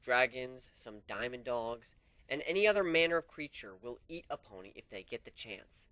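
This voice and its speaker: Brief: man voices stern male griffin captain, unhurried, cruel calm, animated delivery. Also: medium pitch, no room sound, close to an everyday voice, poor audio. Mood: stern lecture. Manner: unhurried, lecturing, coolly amused.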